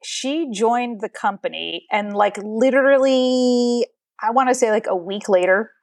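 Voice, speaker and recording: A woman chatting casually as a podcast guest, telling stories in an animated way and acting out bits of dialogue. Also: on the high side, a little slow, no room sound. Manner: animated storytelling with quoted dialogue. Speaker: film producer, podcast guest. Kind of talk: casual group conversation.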